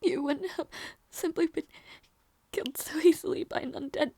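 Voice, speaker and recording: A woman playing a gentle young princess in an intimate audio roleplay, devoted and emotional, with a breathy, halting delivery. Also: high voice, no room sound. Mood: tender, emotional. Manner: breathy, halting, stammering, often near whisper.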